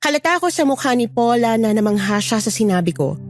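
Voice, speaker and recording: A woman's voice: a little high, sing-song, a little fast. A woman narrating a scripted first-person Filipino horror story.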